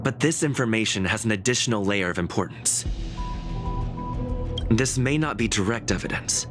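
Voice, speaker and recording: Clear music sits behind an actor as an analytical man in a video game. He has a lowish voice and lays out his reasoning calmly and formally. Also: moderate pace, lightly acted. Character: calm, analytical man reasoning through a mystery. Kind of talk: scripted video game dialogue.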